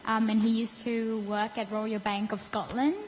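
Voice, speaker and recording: A woman's voice: a little high, flat delivery, normal speed. A woman moderating a student panel, introducing each speaker with small stumbles and restarts. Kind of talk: introducing panelists at a live event. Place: event hall. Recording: noisy reverberant hall.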